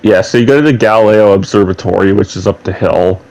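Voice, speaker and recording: Gravelly low-voiced young man with a cold. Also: fast, darkish tone, low-quality recording. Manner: rambling, chatty, shares trivia asides. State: slight head cold. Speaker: gaming channel host. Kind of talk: casual gameplay commentary.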